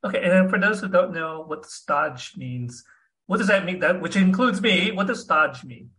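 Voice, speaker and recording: A man's voice: high voice, lively intonation, normal speed, bright, forceful tone, low-quality recording. A man hosting an interview, asking curious questions and often restarting his sentences. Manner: curious, self-deprecating questions with repeated restarts. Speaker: podcast host. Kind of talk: casual interview.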